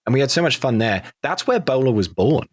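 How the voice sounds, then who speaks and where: A man's voice: mid-range voice, very animated, fairly quick, breathy edge. A man with a British accent chatting casually as a cast member on a podcast. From Britain. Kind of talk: casual podcast round-table chat.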